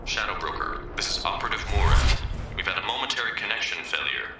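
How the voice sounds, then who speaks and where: An actor playing a businesslike field operative reporting over a radio link in a sci-fi video game. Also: male voice, on the low side, animated, fast, noisy background. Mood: brisk, concerned. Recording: very echoey, loud music behind.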